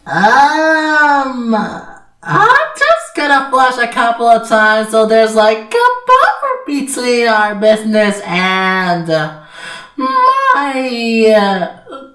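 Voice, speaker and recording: Woman doing a high, goofy cartoon alligator. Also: wildly swooping pitch, extremely slow, pushed and bright, far from the mic, heavily acted. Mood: sheepish. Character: goofy, excitable alligator spy agent. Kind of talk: cartoon fandub acting. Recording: echoey room with faint music.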